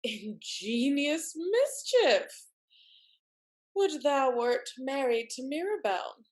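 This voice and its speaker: Actress as arch period-comedy wife: high voice, very animated, exclamatory and mannered. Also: female voice, slow, full character voice. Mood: probing. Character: married society woman, arch, effusive, sharp-witted wife. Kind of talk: Restoration comedy stage acting.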